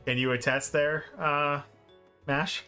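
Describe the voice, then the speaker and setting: A man's voice: a little high, level tone, slow pace, bright, forceful tone, clean tone, faint music behind. A man giving casual, exclamatory commentary while he plays a video game on a livestream. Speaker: speedrunner streamer playing and commenting.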